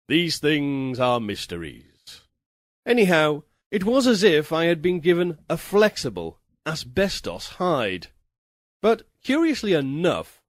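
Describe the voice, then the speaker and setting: A man voicing a wry, erudite castaway who narrates his bizarre misadventures in a theatrical, deliberate, ironic audiobook style. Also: on the high side, no room sound, clean studio sound. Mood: puzzled, wry. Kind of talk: dramatic first-person audiobook narration of a pulp tale.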